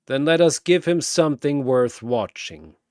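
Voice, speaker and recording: A proud, god-killing warrior of few smiles, played by a male narrator in a battle story. He speaks in slow, grave, formal phrases, recorded dry. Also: fairly high pitch, darkish tone, clean tone, dry recording, close to an everyday voice. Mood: defiant.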